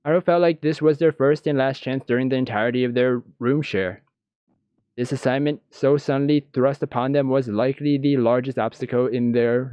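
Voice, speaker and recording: A man reading visual novel text aloud as scripted narration, with a deliberate, dramatic storytelling delivery. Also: medium pitch, darkish tone, clear, resonant tone, no echo, highs cut off.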